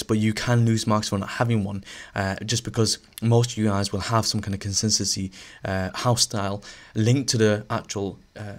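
Lowish guy teacher doing a screen tutorial, bit hoarse, lots of ums and restarts. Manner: relaxed, thinking aloud with fillers and restarts. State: losing his voice.

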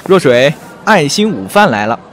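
Man acting a coaxing, lively young boyfriend. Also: on the high side, moderate pace, a little hiss or hum. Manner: coaxing, doting. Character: devoted, eager young boyfriend, doting and teasing. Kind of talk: audio drama acting.

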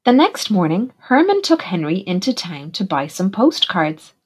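A woman teacher reading a picture book aloud in an expressive, deliberate way for young children. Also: mid-range voice, breathy edge. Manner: animated, deliberate, puts questions to young listeners. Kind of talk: expressive storybook narration for children.

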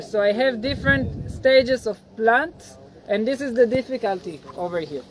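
Guy in his 40s giving a farm tour outside, patient, slow explaining, bit of outdoor noise. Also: very high voice, a little mellow, poor audio. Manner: explains patiently in non-native English. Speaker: agronomist guiding a farm tour. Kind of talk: outdoor field lecture. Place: outdoors by a cornfield.

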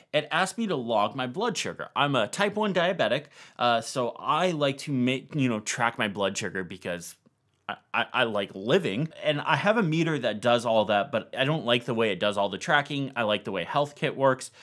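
A man's voice: medium pitch, a bit bright. A man, narrating a tech tutorial in a casual, conversational, explanatory way. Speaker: tech video host.

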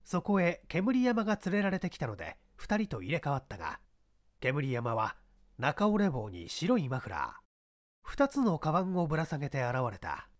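A man's voice: on the high side, huge pitch swings, normal speed, no echo, studio quality. Man narrating a mystery story.

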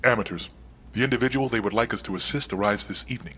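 A man playing an unflappable right-hand man to a crime boss in a video game, formal, clipped and deadpan. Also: on the low side, fast talker, breathy edge. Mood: composed. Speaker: crime boss's adviser. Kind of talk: cinematic game dialogue.

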